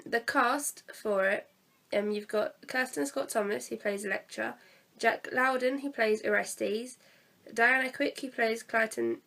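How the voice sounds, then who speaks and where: Croaky teen girl with a cold. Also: a little high, fairly bright tone. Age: teens. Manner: chatty, hesitant, many fillers. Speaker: vlogger reviewing a theatre play. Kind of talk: casual chat to camera. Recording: distant from the mic.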